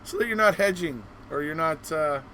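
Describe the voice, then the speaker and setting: A man with a fairly high voice hosts a casual interview on a live stream. He talks in an encouraging, meandering way, circling back over his points. A faint noise sits behind his voice. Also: expressive, normal speed, pushed and bright. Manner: encouraging, rambling. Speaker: host of a live online show.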